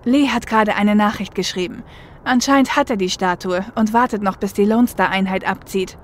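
A woman's voice: mid-range voice, moderate pace, close to an everyday voice. A woman playing an analytical, level-headed shadowrunner and getaway driver in a fan audio drama. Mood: explaining. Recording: faint car and traffic noise.

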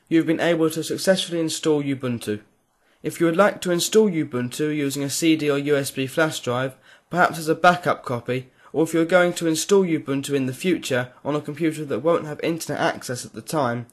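A man giving a clear step-by-step voice-over for a software tutorial. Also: a little high, a little fast. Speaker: tutorial creator. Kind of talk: screen-recorded software tutorial.

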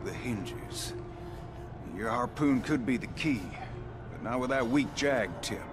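A man's voice: mid-range voice, slow pace, noisy background, lightly acted. An actor playing a crafty male wasteland fixer in a game, talking in a folksy, dealmaking drawl. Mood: sly.

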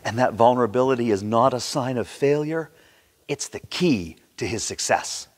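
The voice rings through a long church reverb. It belongs to a man in his sixties at a mid pitch, preaching a sermon. He delivers it theatrically, leaning on key words and using dramatic pauses. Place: large church sanctuary, indoors at a pulpit.

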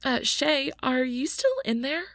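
A woman's voice: sits high, unhurried, fairly bright tone, dry recording, studio quality. A woman voicing a caring, anxious, responsible young woman who speaks carefully and breathily in an audio roleplay. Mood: concerned.

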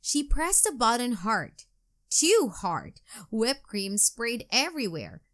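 A woman narrating a children's storybook as a read-aloud, in a deliberate, clearly enunciated storytelling manner. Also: on the high side, wildly swooping pitch, fairly bright tone.

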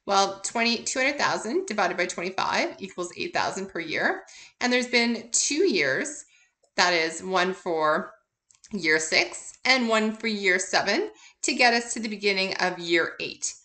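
Bright, forceful woman teaching a lesson. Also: medium pitch, expressive, unhurried. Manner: explanatory, conversational, poses questions and answers them. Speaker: accounting instructor. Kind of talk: informal recorded lecture, working through examples.

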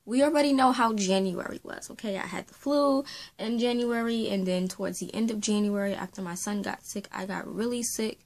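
A woman's voice: medium pitch, a little fast, darkish tone. A woman in her late twenties, a faith and beauty vlogger, talking casually to camera from a small room at home, rambling and gesturing, her throat sore after being sick. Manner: rambling, talks with her hands. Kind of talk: casual chatty vlog to camera.

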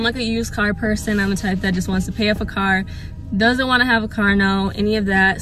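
A woman's voice: mid-range voice, fairly bright tone. Chatty young woman vlogging in a car. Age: 20s. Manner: chatty, earnest, many fillers and repeats. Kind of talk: casual chat to camera. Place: inside a parked car. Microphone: phone held at arm's length or mounted on dashboard.

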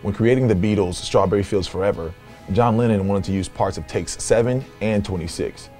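A man's voice: fairly low pitch, a little fast, mellow, soft background music. A young American man hosting with scripted educational narration. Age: young adult. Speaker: host. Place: small home-style music studio indoors.

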